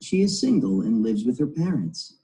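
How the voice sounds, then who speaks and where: A man reads a short article aloud for textbook audio. His tone is dark and mellow, and his pitch moves freely. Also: big pitch swings, moderate pace, poor audio. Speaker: textbook reading narrator. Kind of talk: scripted reading passage.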